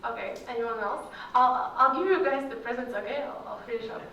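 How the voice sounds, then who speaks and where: A woman's voice: high voice, animated, measured pace, pushed and bright, slight background noise. A young woman whose first language is Russian, answering student questions casually with many fillers. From Kyrgyzstan. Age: young adult. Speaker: alumni guest speaker. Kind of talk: Q&A talk to students. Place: large echoey hall. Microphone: far from the mic. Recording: roomy hall audio from far off.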